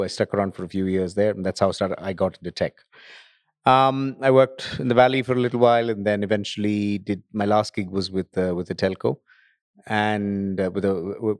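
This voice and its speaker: A man's voice: lowish voice, no echo, clean studio sound. A middle-aged man talking casually as a podcast guest, rambling with many restarts. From grew up in Tanzania and Nigeria. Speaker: podcast guest, data center company founder. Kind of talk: casual interview talk.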